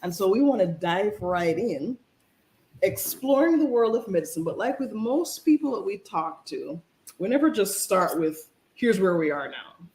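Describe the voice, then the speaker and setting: A chatty host introduces a guest and opens the conversation. The voice moves lively up and down and stumbles through repeated words when asking. The recording has an echoey room around it. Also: mid-range voice, normal speed, slight background noise. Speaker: show host. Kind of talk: conversational interview.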